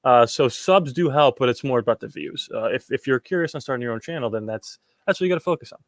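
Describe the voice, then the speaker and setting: A man's voice: mid-range voice, expressive, fast talker, no room sound, studio quality. A young man from Puerto Rico hosting a casual livestream, reading chat questions and answering them in a rambling way, with repeated words and many ums.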